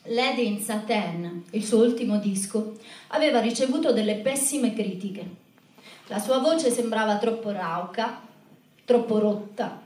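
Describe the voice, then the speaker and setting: A woman's voice: on the high side, darkish tone. A woman performing a spoken monologue and telling stories as part of a live show. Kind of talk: spoken monologue and storytelling to an audience. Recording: echoey room from far off, music behind.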